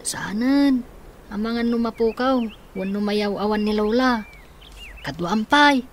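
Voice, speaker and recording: A woman's voice: a little high, a little slow, a little hiss or hum. A woman playing a responsible young boy, a protective older brother, in a radio drama, speaking earnestly. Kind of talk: Ilocano radio drama acting.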